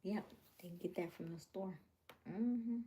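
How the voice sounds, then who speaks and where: A woman chatting casually with her viewers on a livestream, drifting into soft whispers and laughing often. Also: on the low side, measured pace, a little hiss or hum. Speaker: livestream host.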